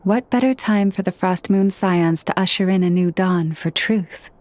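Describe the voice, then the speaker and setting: An actress voicing a calm, earnest young woman leader who speaks in a quiet, measured, solemn way. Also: fairly low pitch, no room sound, close to an everyday voice. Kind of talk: video game dub acting.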